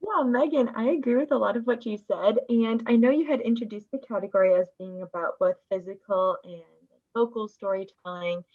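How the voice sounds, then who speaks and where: Muffled video call, young woman, slightly high voice, giving constructive notes calmly. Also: brisk. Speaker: guest judge, teacher. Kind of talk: conversational critique. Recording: dull video-call audio.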